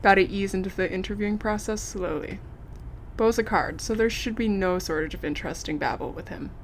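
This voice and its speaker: An actress playing a polite, earnest young investigative reporter with an American accent in an audio drama. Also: female voice, mid-range voice, a little fast, slight background noise, natural voice. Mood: wry, confiding. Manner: polite, wry. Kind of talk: audio drama acting, narrated recorder diary.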